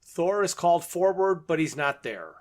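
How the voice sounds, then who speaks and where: A man's voice: on the high side, animated, moderate pace. A man co-hosting a podcast, recapping a plot in a methodical, matter-of-fact way. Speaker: podcast co-host.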